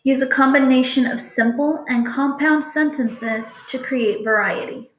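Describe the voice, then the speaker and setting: A woman teacher reading test questions aloud to students in a deliberate, instructional way. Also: high-pitched, fairly bright tone, slight background noise. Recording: echoey room.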